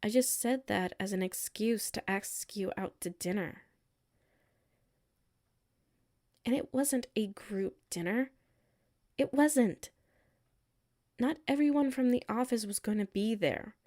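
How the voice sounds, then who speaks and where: A woman's voice: mid-range voice, measured pace, darkish tone, natural voice. A woman playing a hurt, defensive tsundere coworker with a secret crush in a roleplay audio, exasperated and emphatic, dropping into sulky murmurs. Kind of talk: roleplay audio acting, one side of a conversation.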